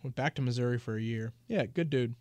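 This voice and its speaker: A man's voice: medium pitch, fast talker, a little mellow, no echo. A man hosting a casual sports podcast, talking in a chatty, rambling way with fillers and restarts. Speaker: podcast host and team reporter.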